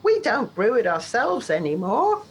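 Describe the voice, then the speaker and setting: A woman's voice: on the high side, huge pitch swings, a little slow, slightly rough, heavily acted. A woman playing an obliging, literal-minded village publican in a comic radio play, with a matter-of-fact manner. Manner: matter-of-fact, innocently unaware. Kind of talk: audio drama acting.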